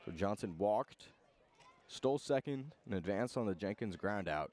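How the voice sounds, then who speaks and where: A man doing live radio play-by-play of a baseball game in clipped phrases, with pauses between calls. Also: fairly low pitch, animated, a little mellow. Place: outdoor ballpark broadcast.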